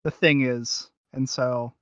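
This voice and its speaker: Male podcast co-host, sharp bright tone, casual unscripted talk, very dry but muffled sound. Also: mid-range voice, fairly even intonation, takes it slowly, clear, resonant tone, no room sound. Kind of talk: casual unscripted review chat.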